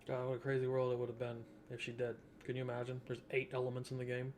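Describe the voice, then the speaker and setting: A man's voice: mid-range voice, monotone, quick pace, darkish tone. A young man giving casual, joking gameplay commentary as he streams a video game. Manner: joking, offhand. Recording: noticeable background noise, game sound.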